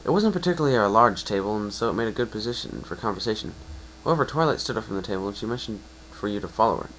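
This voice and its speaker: A male narrator with a lowish voice reads fiction aloud in a dramatic storytelling style. It is an amateur reading, with some noise in the recording. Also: lively intonation, rapid-fire, fairly bright tone, low-quality recording. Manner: stumbles and rereads phrases.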